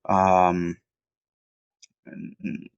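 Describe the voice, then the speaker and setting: A man's voice: low voice, monotone, extremely slow, clear, resonant tone, no echo, dull audio. A man with a cold, sounding sniffly, giving a casual off-the-cuff film review at home, rambling with lots of "um"s and restarts. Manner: rambling, many fillers and restarts.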